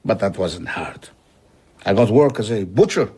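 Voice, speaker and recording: Husky, haunted older man. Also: on the low side, animated, a little slow, warm tone, heavily acted. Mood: rueful. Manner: reflective, earnest. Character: haunted, wistful older male former traveller. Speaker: narrator and central character. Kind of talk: audio drama narration and dialogue.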